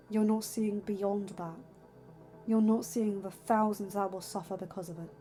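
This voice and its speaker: A woman voicing a stern, protective young lord and father in a fantasy story, arguing with forceful, emphatic reason over music. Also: mid-range voice, close to an everyday voice. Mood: softened, reasoning.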